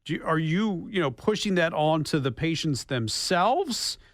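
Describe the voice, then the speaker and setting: A man's voice: fairly high pitch, animated, measured pace, no echo, studio quality. A man hosting a broadcast interview, asking questions in a conversational way. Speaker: radio show host interviewer.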